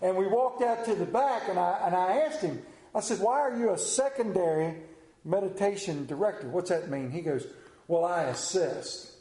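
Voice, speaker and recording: A man preaching as a pastor to a congregation, speaking in a conversational, folksy way with deliberate pauses. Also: high-pitched, warm tone. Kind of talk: sermon with anecdote, scripture reading and prayer. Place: church during a service, also on video. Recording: roomy, echoing church sound.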